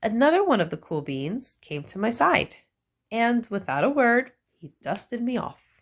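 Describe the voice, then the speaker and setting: A woman reading a children's picture book aloud, with slow, playful storytelling and animated emphasis. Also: on the high side.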